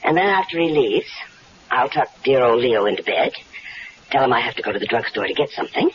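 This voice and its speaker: A woman's voice: very deep voice, expressive, brisk. An actress playing a scheming wife who coolly plots her husband's murder in a 1970s radio drama, with a coaxing, confident, sweetly calculating manner. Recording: dull old radio broadcast with faint hiss.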